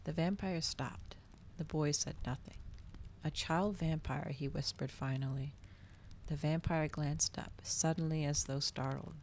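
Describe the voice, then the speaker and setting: Low, creaky-voiced woman in soft ASMR. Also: slight background noise. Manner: soft-spoken, often slipping into whispers. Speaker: streamer reading aloud to viewers. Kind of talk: ASMR storybook reading and cozy stream chat.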